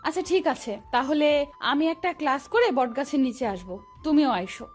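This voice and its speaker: Woman acting high-voiced caring young student. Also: animated, moderate pace. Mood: agreeable. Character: caring, playful young female college student. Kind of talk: romantic audio story dialogue. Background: background music.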